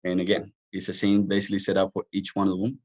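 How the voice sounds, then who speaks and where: A man presenting an informal technical webinar as a product trainer, explaining haltingly with frequent restarts and repetitions. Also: sits low, monotone, darkish tone.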